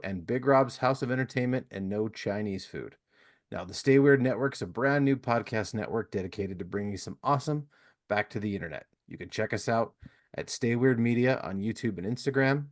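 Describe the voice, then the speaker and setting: A man hosting a casual podcast interview in a folksy, courteous manner, moving between chat and plugs. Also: medium pitch. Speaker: podcast host.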